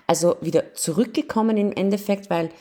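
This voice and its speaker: A woman's voice: mid-range voice, a little fast, mellow. A woman, interviewing a guest and explaining ideas about language teaching. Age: 30s. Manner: explanatory, lecturing on multilingual teaching. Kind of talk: casual interview.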